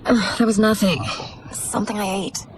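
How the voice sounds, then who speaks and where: A woman's voice: medium pitch, fairly even intonation, normal speed, a little hiss or hum, lightly acted, low-quality recording. A woman voicing an anxious young princess and heir in a video game, defensive and pleading. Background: game music.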